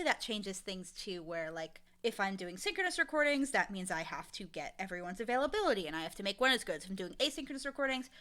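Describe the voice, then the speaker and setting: A woman being interviewed, talking in a casual, informal and animated way about her work. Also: a little high. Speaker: interviewee, audio drama showrunner and voice actor.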